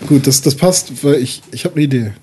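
A man's voice: mid-range voice, a little fast, dark tone, a little hiss or hum. A young man improvising a story as a player in a tabletop role-playing game, chatting casually and with slang. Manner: informal, slangy.

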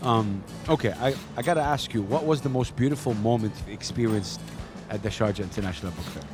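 Upbeat male radio host, music behind. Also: lowish voice. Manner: upbeat, quick affirmations and encouragement. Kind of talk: casual live radio interview. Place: live radio booth at a fair.

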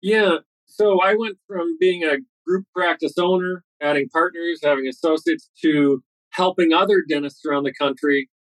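Eager, quick-talking man, dry sound. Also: a little high, a bit bright, no echo, low-quality recording. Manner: fast, eager storytelling with restarts. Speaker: dentist and guest. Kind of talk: podcast interview answers.

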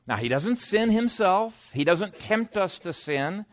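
A man's voice: high voice, expressive, unhurried. A man preaching an expository sermon in an emphatic, conversational teaching manner.

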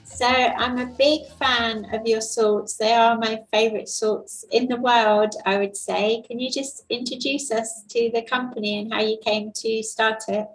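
Woman interviewer, kinda high voice, friendly and enthusiastic. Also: fairly even intonation, measured pace, hardly any pauses, faint music behind, poor audio. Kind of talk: interview questions.

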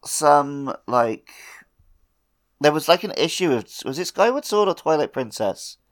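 A man's voice: a little high, big pitch swings, a little slow. A man co-hosting a podcast in casual, conversational chat. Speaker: podcast co-host.

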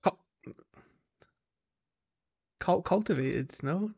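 A man's voice: high-pitched, animated, drawn-out words, creaky, darkish tone, husky, muffled recording. A man talking in a casual, conversational way as a podcast host. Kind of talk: casual chat.

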